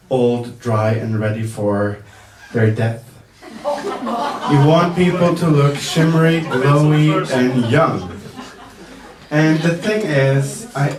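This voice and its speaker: A man working as a makeup artist, giving a live demonstration to the press at an indoor event, talking slowly and hesitantly with many fillers and the odd joke. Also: mid-range voice. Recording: echoey room, mic far off, faint noise.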